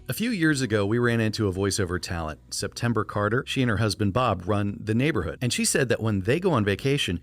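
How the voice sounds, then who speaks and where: Man, American accent, lowish pitch, radio-trained voice, scripted tutorial narration, clear emphatic presenting. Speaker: voiceover coach and video host. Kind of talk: scripted instructional narration to viewers.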